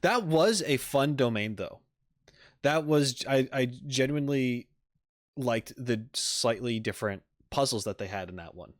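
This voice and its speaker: A man streaming a game playthrough in a casual, conversational way. His voice sits at an average pitch and is recorded dry and close. He chats through his reactions in short asides. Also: expressive, measured pace, dry recording. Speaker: gaming streamer.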